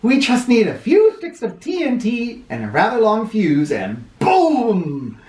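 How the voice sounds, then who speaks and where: A woman's voice: on the high side, wildly swooping pitch, measured pace, a little mellow, pitched above the performer's own voice. A woman voicing a gung-ho, cheerfully reckless man who is a war secretary, in a children's storybook. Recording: roomy, somewhat far from mic.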